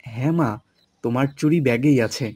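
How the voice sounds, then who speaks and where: A man playing a gentle, reassuring, soft-spoken father in a cartoon. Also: medium pitch, fairly quick, breathy edge, no echo, studio quality, lightly acted. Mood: kindly.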